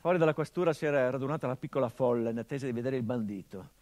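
A middle-aged actor playing a grieving son whose father was murdered, speaking a theatrical monologue in a measured, grave, introspective way. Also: mid-range voice, darkish tone, close to an everyday voice.

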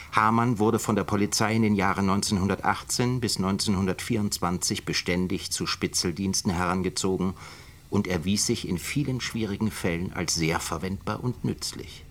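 Low-voiced, sardonic literary chronicler. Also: male voice, fairly bright tone, a little hiss or hum. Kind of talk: radio drama narration.

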